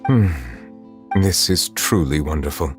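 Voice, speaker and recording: Low-voiced, dignified chief justice. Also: male voice, animated, over loud music. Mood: grave, composed. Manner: formal, measured, restrained. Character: dignified, reserved male chief justice, formal and grave. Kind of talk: video game character acting.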